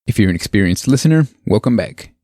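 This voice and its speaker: A man's voice: lowish voice, animated, fast talker, mellow, no echo, clean studio sound. A man hosting a podcast interview in a laid-back, chatty way. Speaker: podcast host.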